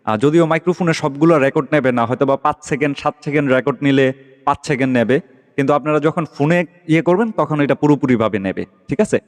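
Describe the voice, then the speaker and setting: A man's voice: on the high side, fast. A man hosting a casual tech tutorial, explaining an app step by step and often checking that listeners follow. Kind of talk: casual screen-recorded app walkthrough. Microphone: phone microphone during screen recording. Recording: long echoing reverb.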